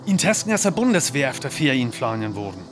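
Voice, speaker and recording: A man's voice: medium pitch, sing-song, quick pace, faint music behind, close to an everyday voice. A man acting a radio newsreader in a drama, announcing a grave disaster formally and urgently with a North Frisian accent. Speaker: radio news announcer in a radio play. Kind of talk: scripted news bulletin in a radio play.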